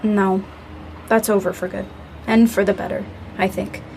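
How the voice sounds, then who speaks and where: An actress plays a young woman in an audio drama who talks softly, with a dry, self-mocking edge. Her pitch sits at a middling height. A faint hiss of noise runs under her lines. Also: little pitch movement, normal speed, lightly acted, low-quality recording. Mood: subdued. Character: Zoe, young woman working through grief.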